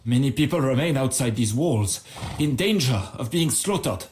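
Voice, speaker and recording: Man playing gallant, courteous medieval knight, mid-pitched. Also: expressive, normal speed, a little mellow, slight background noise, close to an everyday voice. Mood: grateful, gallant. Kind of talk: dramatic video game cutscene acting.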